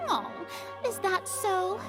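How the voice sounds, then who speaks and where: A woman's voice: very high-pitched, big pitch swings, slow, over music, full character voice. A woman playing a haughty, theatrical young noblewoman prosecutor in a video game, speaking pompously. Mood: probing.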